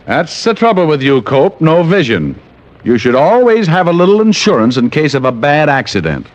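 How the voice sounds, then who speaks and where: Male hardboiled gumshoe narrator, wry and flippant, very animated delivery, old muffled radio sound. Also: fairly high pitch, normal speed, heavy background noise. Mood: sardonic. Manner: sardonic, flippant. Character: wisecracking hardboiled male private detective narrator. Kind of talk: 1940s radio detective acting with narration.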